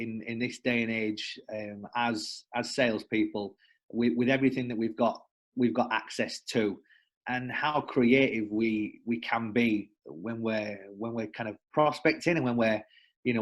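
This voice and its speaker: A young man from the north of England with a northern English accent, chatting as a podcast guest from home over a remote call, friendly and a little self-deprecating. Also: medium pitch. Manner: chatty, self-deprecating, repeats words as he thinks. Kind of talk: casual podcast chat.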